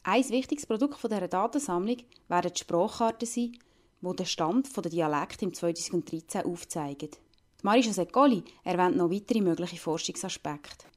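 A woman's voice: on the low side, normal speed, a little mellow. A woman with a Lucerne Swiss German accent, narrating a scripted radio report as a reporter.

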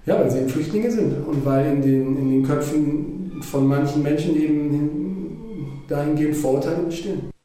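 Mellow-voiced man in an echoey room. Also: fairly high pitch, normal speed. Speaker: workshop manager. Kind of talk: interview statement.

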